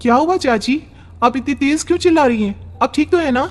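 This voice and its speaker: Man doing a very high, plaintive young woman. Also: lively intonation, brisk, no echo. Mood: puzzled. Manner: plaintive, polite, deferential. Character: soft-spoken young pregnant woman in trouble. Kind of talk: acted storybook dialogue.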